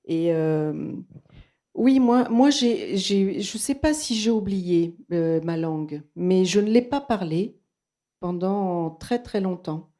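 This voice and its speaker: A woman's voice: mid-range voice, expressive, slow pace, warm tone. A woman from Argentina, a native Spanish speaker with a Toulouse French accent, giving a thoughtful, hesitant personal account at a bookshop talk. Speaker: psychoanalyst presenting at a bookshop talk.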